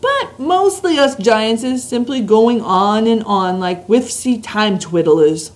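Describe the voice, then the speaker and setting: Booming, slow, grandly muddled old giant, read by a woman, loud and lively in pitch. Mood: earnest.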